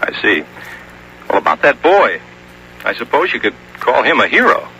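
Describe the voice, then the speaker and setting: A probing radio interviewer in an old drama. His voice is very bright and forceful, with lively swings of pitch. He keeps a formal tone over faint noise. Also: moderate pace, vocal fry, heavily acted, poor audio.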